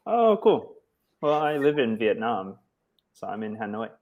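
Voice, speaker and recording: A man from the United States, tutoring English over a video call in a relaxed, encouraging way with many short affirmations. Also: medium pitch, measured pace, phone-quality sound, heavy background noise. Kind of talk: casual tutoring chat.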